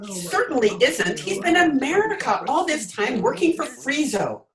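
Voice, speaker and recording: A woman's voice: sits high, very animated, moderate pace, crowd noise. A woman playing a brusque, dramatic señora in an old-time radio comedy, speaking emphatically. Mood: urgent. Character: sharp, dramatic señora of a corrupt island regime.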